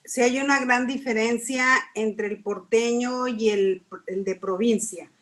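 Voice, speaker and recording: A woman's voice: a little high, fairly even intonation, a little slow. A middle-aged woman speaking casually in a church discussion, thinking aloud with small fillers. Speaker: church class participant. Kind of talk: casual conversation.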